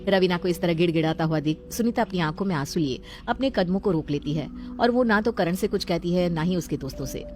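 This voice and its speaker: A woman's voice: lowish voice, animated, fast, few pauses, a little mellow. A woman telling a scripted dramatic story as the storyteller. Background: background music.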